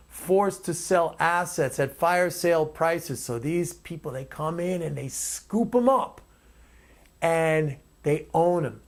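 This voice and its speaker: A man hosting his own commentary, speaking off the cuff in an emphatic, opinionated way. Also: on the high side. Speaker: commentator and host.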